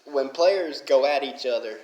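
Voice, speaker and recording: A man's voice: medium pitch, expressive, a little slow, darkish tone, echoey room, low-quality recording. A man with a strong Southern Alabama accent, talking in a casual rambling monologue, full of "you know" fillers and getting fired up. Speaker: mobile game player.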